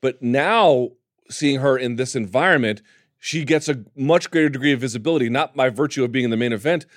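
A man hosting a casual talk show about mixed martial arts, with an animated, emphatic way of talking. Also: medium pitch, brisk, no echo, clean studio sound. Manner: animated, emphatic, calls co-host dude. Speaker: podcast host.

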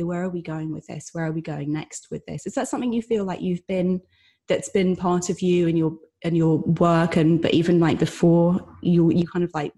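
Mellow-voiced woman hosting a podcast. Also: fairly low pitch, slightly rough. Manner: reflective, many fillers and restarts. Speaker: podcast host. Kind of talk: conversational interview.

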